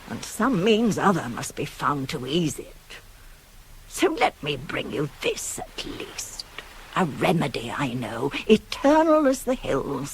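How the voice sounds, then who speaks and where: Older nurse and confidante, radio tragedy. Pragmatic, insinuating, very wide swoops of pitch, hissy old cassette sound. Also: female voice, full character voice. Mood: hushed, scheming. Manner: rhetorical, emphatic, insinuating, dropping to hushed tones. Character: older female nurse, shrewd, pragmatic, persuasive confidante of a queen. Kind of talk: 1980s radio play acting of Greek tragedy.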